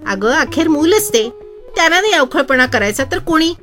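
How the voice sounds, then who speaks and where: A woman narrator acts out a soft-hearted village milkmaid in a devotional tale. The voice is high and swings expressively, homely and pleading, over faint music. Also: animated, moderate pace. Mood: pleading, indulgent.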